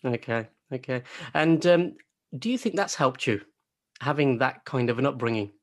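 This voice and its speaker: A man's voice: mid-range voice, normal speed. Guy hosting a podcast, chatty, keeps restarting his sentences. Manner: chatty, repeats and restarts phrases. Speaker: podcast host. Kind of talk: podcast interview.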